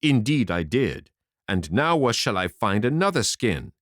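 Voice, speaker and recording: Man playing a stingy grumpy guy, gruff, pitch swinging a lot, dry sound. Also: very animated, unhurried, fairly bright tone, no room sound. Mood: indignant. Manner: gruff, indignant. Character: grumpy stingy grown man, a drum-maker buyer.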